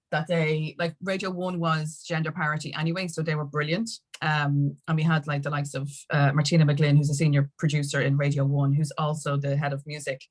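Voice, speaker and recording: An Irish woman telling her story as a podcast guest in casual conversation, acting out what people said as she goes. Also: sits low. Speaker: podcast guest, music industry activist.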